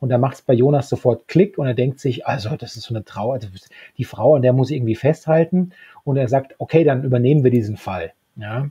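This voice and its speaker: Male podcast co-host, husky and dark-toned, loose retelling style, close dry home recording. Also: mid-range voice, a little fast, no echo. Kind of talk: casual discussion and retelling of an audio drama. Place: small book-lined home room, indoors, remote video call. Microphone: handheld-style recorder close on desk. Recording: dull, muffled podcast recording.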